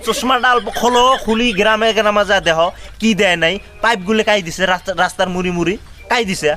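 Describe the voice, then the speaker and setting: Guy playing a brash village election fan, sharp high voice, northern bengali accent, keeps arguing, comedy skit. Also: steady tone, fast talker. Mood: boastful. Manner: argumentative, loud rhetorical questioning. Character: brash village man, boastful, argumentative election supporter.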